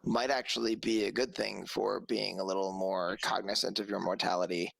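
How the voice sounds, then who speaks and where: Young man from the american south, low-pitched, gravelly, joking casual podcast talk. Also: normal speed. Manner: joking, relaxed, swears casually. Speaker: podcast co-host. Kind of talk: casual chat. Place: small room with pink lighting, at home. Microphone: headset mic.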